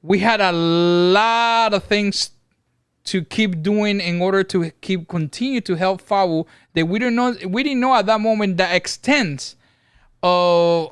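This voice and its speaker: A middle-aged father speaks earnestly and thoughtfully on his family's podcast, pausing on fillers as he works out his words. His voice is smooth and a little high for a man. The sound is dry and close to the mic. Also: dry recording. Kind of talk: casual family podcast talk. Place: home room. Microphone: studio mic on boom, headset.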